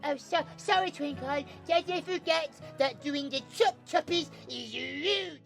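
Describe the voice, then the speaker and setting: A woman voicing a small, naive, excitable male creature in an audio drama, with a childlike, gushing, eager manner and a squeaky, creaky voice. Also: measured pace, soft background music. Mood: sheepish. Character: small, excitable, naive, sweet mystic cafe helper, male.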